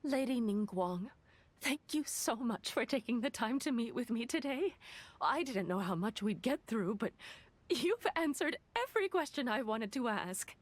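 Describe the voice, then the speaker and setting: An actress playing an eager, inquisitive, gossipy female merchant in a video game, with an animated, polite and teasing manner. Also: a little high, moderate pace, no room sound. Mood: grateful, admiring.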